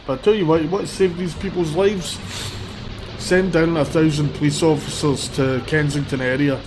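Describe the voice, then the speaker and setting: A man's voice: on the high side, steady tone, normal speed. A man with a cold, sniffling a little, giving blunt, rambling live commentary while out on a city street on a handheld phone. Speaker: livestreamer. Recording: loud street traffic behind. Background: traffic and vehicles.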